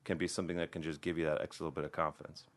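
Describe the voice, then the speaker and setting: A man from the American Midwest, a plastic surgeon speaking as a podcast guest in relaxed casual conversation, full of restarts and fillers. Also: very deep voice, very fast. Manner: relaxed, conversational, many restarts and "you know".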